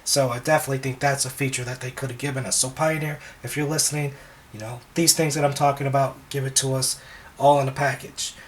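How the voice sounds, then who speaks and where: A man with a slightly hoarse voice, talking casually as a wedding DJ reviewing equipment, relaxed and rambling with frequent ums and restarts. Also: medium pitch, fast talker, slight background noise.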